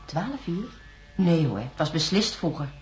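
An actress playing a troubled, guarded astronaut scientist in a science fiction radio drama, articulate and insistent. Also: female voice, deep voice, big pitch swings, unhurried, a little mellow, faint music behind. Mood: recalling, insistent.

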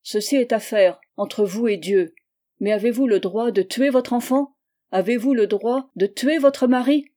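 A woman reading the part of an earnest young man, speaking with steady, measured persuasion in her own voice. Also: fairly high pitch, close to an everyday voice. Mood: firm. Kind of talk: audiobook dialogue reading.

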